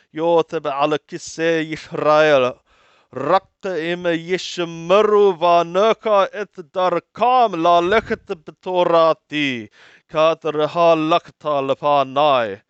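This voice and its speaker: A man reading scripture aloud and translating it phrase by phrase in a deliberate, halting manner, often repeating and rephrasing. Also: on the high side, steady tone, smooth, no echo, lo-fi sound.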